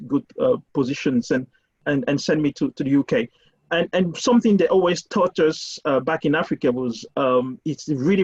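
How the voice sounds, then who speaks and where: A man from Cameroon speaking as a university guest on an online panel, halting with many repeated words and fillers. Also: on the high side, darkish tone, husky. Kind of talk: casual panel discussion. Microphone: video call. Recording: muffled lo-fi video call audio.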